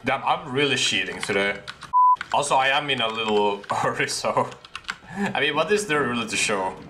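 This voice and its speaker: A young man casually commentating a horror game he streams, breaking into high surprised laughs. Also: lively intonation, normal speed, very noisy. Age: young adult. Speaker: gaming streamer. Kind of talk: casual let's-play commentary. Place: room at a desk with a gaming chair. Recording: game music playing behind. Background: game music and keyboard typing.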